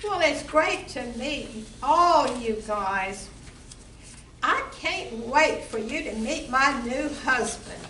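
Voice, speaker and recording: A woman acting a bright, brassy barroom singer. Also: sits high, animated, slow, full character voice. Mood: playful, eager. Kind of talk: amateur stage comedy radio play acting. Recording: echoey room from far off, background noise.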